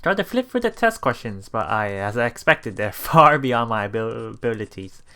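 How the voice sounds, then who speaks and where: A man reading a visual novel aloud as a let's play streamer, haltingly, with repeats and self-corrections. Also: medium pitch, big pitch swings, vocal fry. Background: soft game music.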